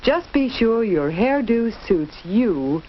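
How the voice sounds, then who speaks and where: A woman's voice: a little high, sing-song, takes it slowly. A woman giving scripted, instructive narration in a 1940s educational film style, carefully enunciated. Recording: dull, noisy 1940s film soundtrack.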